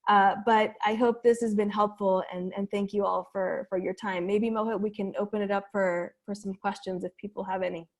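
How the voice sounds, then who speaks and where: A woman's voice: medium pitch, fairly even intonation, moderate pace, hardly any pauses. A woman wrapping up a webinar presentation over a video call, casual and friendly, with many fillers.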